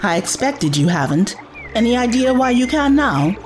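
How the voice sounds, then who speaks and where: Woman playing an earnest, animated young adventuring companion. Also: a little high, moderate pace, music in the background. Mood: gentle, earnest. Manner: earnest, coaxing. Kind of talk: audio drama acting.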